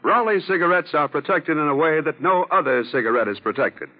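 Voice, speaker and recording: A man's voice: on the high side, expressive, fairly quick. A man announcing in a scripted 1940s radio sponsor style. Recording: thin telephone-like old radio broadcast. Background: music.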